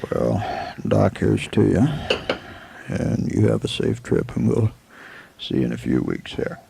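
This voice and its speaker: A man playing a laconic, easygoing frontier marshal in a western radio drama, with a halting, folksy, gravelly delivery. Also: fairly low pitch, very animated, exaggerated voice. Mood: friendly. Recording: clearly noisy old 1984 amateur recording.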